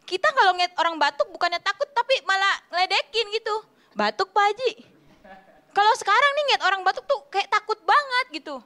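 A young woman doing a stand-up comedy routine in an animated, slangy storytelling way. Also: very high-pitched, quick pace, few pauses. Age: young adult. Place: TV studio stage, indoors. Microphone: handheld microphone.

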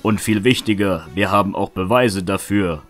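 A man's voice: low voice, normal speed, soft background music, close to an everyday voice. A man playing a bold young would-be knight in a fantasy fan audio drama, resolute and declamatory. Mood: accusing.

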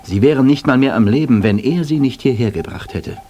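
An actor playing a composed, loyal gentleman aide in a German radio play, formal and assured. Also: medium pitch, animated, a little fast, close to an everyday voice. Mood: grave, insistent. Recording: loud background noise, vehicle sounds.

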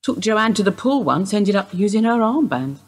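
A woman acting in a radio play as a weary, evasive older wife under suspicion, husky and rambling in an understated way. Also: mid-range voice, sing-song, moderate pace. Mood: wistful, evasive.

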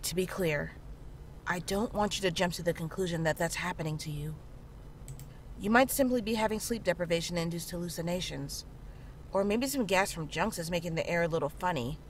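A woman playing a calm, frank town healer in a horror visual novel, speaking in a measured, reassuring way. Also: fairly low pitch, close to an everyday voice. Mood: reassuring, practical. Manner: measured, matter-of-fact, reassuring. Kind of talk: visual novel character dialogue. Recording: clearly audible background noise, ambience.

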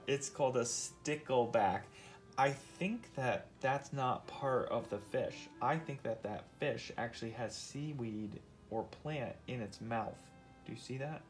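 A man's voice: medium pitch, slow, soft background music. A man reading a nonfiction picture book aloud to his child, explaining and asking questions as he goes. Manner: explains and asks questions as he reads.

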